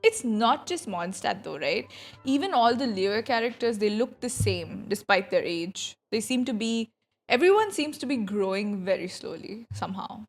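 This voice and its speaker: A woman's voice: mid-range voice, sing-song, moderate pace. A playful, slangy woman giving casual reaction commentary as she streams a game, with music underneath.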